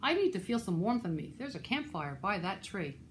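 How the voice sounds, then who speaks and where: A woman's voice: medium pitch, big pitch swings, a little fast, lightly acted. Casting: female reader as childlike storybook snowman, cheerful and shivery, sing-song exclamations, some background noise. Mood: shivering, complaining.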